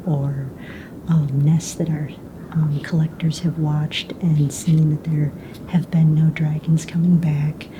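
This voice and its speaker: A woman whispering softly in a breathy voice, playing a casual, unbothered fantasy mistress of ceremonies in an ASMR roleplay. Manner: casual, hesitant, matter-of-fact explaining. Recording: clear low rumbling background noise.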